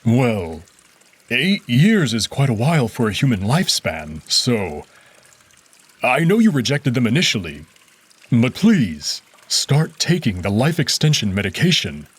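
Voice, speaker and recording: Man doing a male wolf nobleman, gentle, caring, formal, husky, lively pitch. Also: unhurried. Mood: tender. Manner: soft-spoken, caring, formal.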